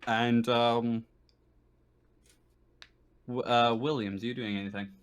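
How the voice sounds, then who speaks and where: A man's voice: medium pitch, flat delivery, slow, clear, resonant tone. A man running a tabletop game as game master, talking casually in an easygoing, accommodating way. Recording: noisy line with clicks.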